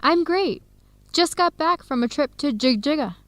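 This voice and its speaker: A woman's voice: high-pitched, lively intonation, moderate pace, fairly bright tone, no room sound. An actress playing a friendly young woman researcher in an educational radio drama, speaking in simple, short replies. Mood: friendly, pleased.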